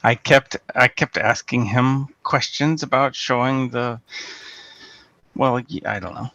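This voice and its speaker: A man calling in over a video call, talking haltingly with lots of fillers. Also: medium pitch, pushed and bright, no room sound, dull audio. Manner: halting, hesitant, many fillers. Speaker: caller. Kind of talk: casual call-in chat.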